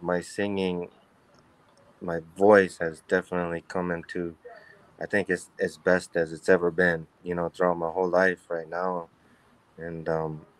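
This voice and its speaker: A baritone man being interviewed as a guest musician over a video call, talking in a halting, rambling way with many restarts. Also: low-quality recording. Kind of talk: casual interview chat. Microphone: webcam on a video call.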